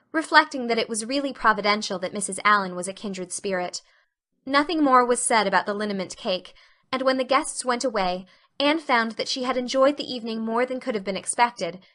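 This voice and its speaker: Bright-voiced woman narrating a story. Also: on the high side, a little fast. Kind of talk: scripted audiobook narration.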